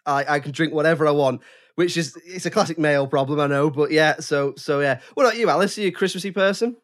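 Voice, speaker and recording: A man's voice: a little high, fast talker. A man co-hosting a podcast, jokey and rambling, with frequent restarts. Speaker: podcast co-host. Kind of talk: casual podcast chat.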